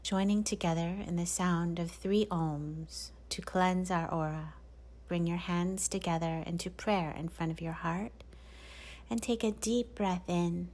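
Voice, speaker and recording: A woman yoga teacher gently guiding a class through poses and breathing, often dropping to a near whisper. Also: on the low side, fairly even intonation, measured pace. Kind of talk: guided yoga class cues.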